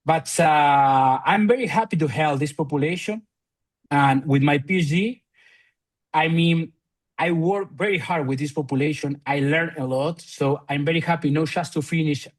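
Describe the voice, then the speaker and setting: A man from Argentina speaking English with a thick Argentine Spanish accent. His voice sits a little high for a man. He talks in an unpolished way, with frequent "uh"s and restarted phrases. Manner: halting non-native English with frequent fillers and restarts. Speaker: physiotherapist and researcher guest. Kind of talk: conversational podcast interview.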